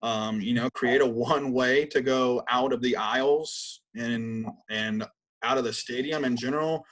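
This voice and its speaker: A man's voice: mid-range voice, fairly even intonation, measured pace, fairly bright tone. A man with a mid-range voice interviews as a podcast co-host. His questions are casual and a little tentative in their phrasing.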